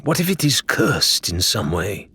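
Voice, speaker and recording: A man's voice: lowish voice, sing-song, measured pace, sharp tone, slightly rough, exaggerated voice. A man voicing an exuberant, good-humoured pirate in an audiobook, with florid, emphatic, lilting phrasing. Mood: wondering, uneasy. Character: exuberant, good-humoured, cautious male pirate who loves taverns. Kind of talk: audiobook character voice.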